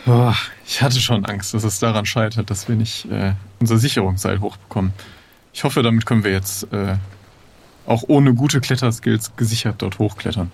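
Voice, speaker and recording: A man's voice: lowish voice, normal speed, creaky, darkish tone, breathy edge. A man leading fellow players through an improvised adventure game, hesitating and thinking aloud as he plans. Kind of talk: improvised tabletop roleplay talk.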